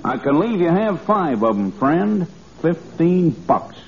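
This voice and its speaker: An actor playing a furtive small-time huckster, slick, confiding and wheedling, in old-time radio comedy. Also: male voice, on the high side, sing-song, takes it slowly, gravelly, a little mellow, heavily acted. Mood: smooth, wheedling. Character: sly, furtive small-time huckster selling dodgy goods. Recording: muffled, noisy vintage radio recording.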